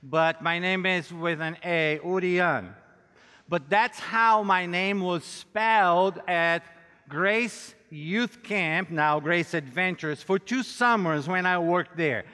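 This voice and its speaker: Male preacher, middle-aged, Brazilian accent, native Portuguese speaker. Clear voice, unhurried delivery, playful and direct with the audience, reverberant hall. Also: high voice, sharp tone. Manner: joking, self-deprecating, addresses listeners directly. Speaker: pastor and conference speaker. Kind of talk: conference sermon with audience interaction.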